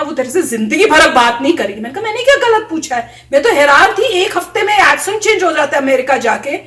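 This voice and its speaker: A woman's voice: high-pitched, a bit bright, distant mic. A woman vlogger chatting casually, telling stories from her school days and acting out the lines of her friends. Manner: chatty, tells anecdotes with quoted voices. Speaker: vlogger reacting to a video. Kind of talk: casual storytelling chat. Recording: echoey room, voice a little off mic.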